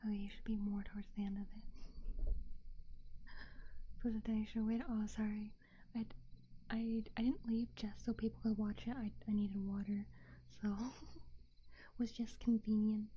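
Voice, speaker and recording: A woman livestreamer chatting casually with her viewers in a soft, breathy ASMR whisper, playful and self-deprecating, into a binaural microphone. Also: medium pitch, level tone, unhurried, pauses often, muffled recording, a little hiss or hum. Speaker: livestreamer, ASMR roleplay host.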